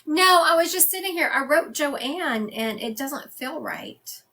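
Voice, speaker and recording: A woman's voice: high-pitched, expressive, a little slow, sharp tone. A woman giving a live psychic medium reading for viewers, chatty and conversational, laughing often.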